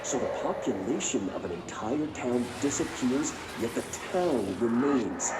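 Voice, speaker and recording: Man acting a smooth late-night radio host, echoey. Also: medium pitch, animated, a little slow, background noise, natural voice. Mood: grave, intrigued. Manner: polished, patronising, unflappable.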